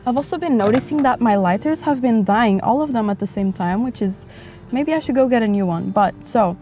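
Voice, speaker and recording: A woman in her twenties from Portugal, chatting frankly in a casual vlog, her voice a bit raspy from a dry throat, recorded outdoors near a highway. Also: a little fast, mellow, soft background music. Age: 20s. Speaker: vlogger. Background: passing motorcycles and traffic.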